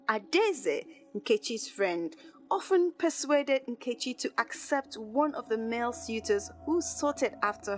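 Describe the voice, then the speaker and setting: A woman narrating a scripted folktale as a storyteller. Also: medium pitch. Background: music.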